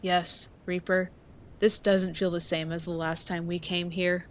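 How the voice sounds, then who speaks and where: A woman's voice: on the low side, level tone, measured pace, a little hiss or hum, close to an everyday voice. A woman playing a cautious, loyal vampire hunter in an audio roleplay, wary and direct. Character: cautious, loyal female vampire hunter, second to her leader. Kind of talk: dramatic audio roleplay acting. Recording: reverberant hall effect with prominent music.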